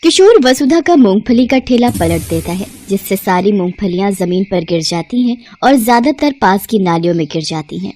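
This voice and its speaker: A woman narrating a scripted moral story for an animated channel, clear and steady. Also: mid-range voice, moderate pace, hardly any pauses.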